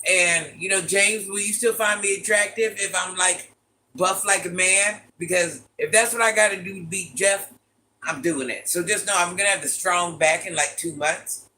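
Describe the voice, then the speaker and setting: An older woman with a very deep voice, hosting a casual livestream, reading viewer comments and playfully trash-talking. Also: moderate pace, pushed and bright. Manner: playful trash talk, sassy asides. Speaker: livestream host.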